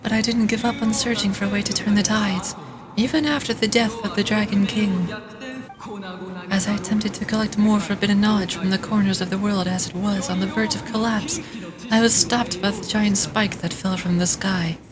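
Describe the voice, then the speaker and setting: A woman's voice: medium pitch, fairly even intonation, pitched below the performer's own voice, lightly acted. Woman voicing an ancient, proud dragon over music. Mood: grave storytelling. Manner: solemn, grandiose, measured. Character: ancient, proud, scornful dragon nursing old hatred. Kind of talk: game dialogue read aloud in character.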